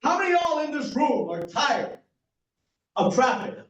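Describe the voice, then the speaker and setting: A man, a physician running for office, giving an energetic campaign speech and polling the room with rhetorical questions. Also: very high-pitched, normal speed. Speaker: political candidate, physician. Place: community meeting room. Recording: echoey, distant and dull room recording.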